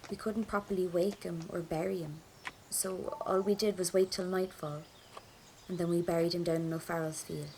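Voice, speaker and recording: A woman's voice: on the low side, fairly even intonation, normal speed, darkish tone, a little hiss or hum, natural voice, rough recording. An actress playing a grieving, stubborn Irish woman from a 1920s rebel family, telling her story plainly and with restraint, in an Irish accent. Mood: restrained grief. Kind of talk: first-person audio drama monologue.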